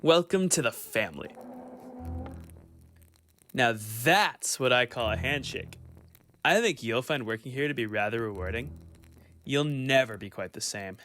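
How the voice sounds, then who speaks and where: An actor playing an eerily welcoming, unctuous corporate job interviewer in a radio play. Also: male voice, mid-range voice, expressive, a little slow, pauses often, fairly bright tone, soft background music. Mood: satisfied, sinister.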